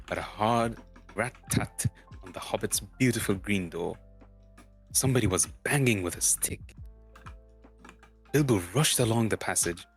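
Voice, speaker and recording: A man reading a fantasy storybook aloud as a streamer, halting and often stumbling and repeating words. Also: sits low. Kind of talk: amateur dramatic storybook narration.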